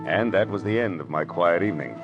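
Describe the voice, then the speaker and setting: An actor with an American accent playing a wry, world-weary private detective in an old-time radio noir drama. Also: male voice, low-pitched, lively intonation, brisk, muffled recording. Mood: wry, reflective. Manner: wry, hard-boiled. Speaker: actor playing detective and first-person narrator. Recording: vintage radio drama with music behind.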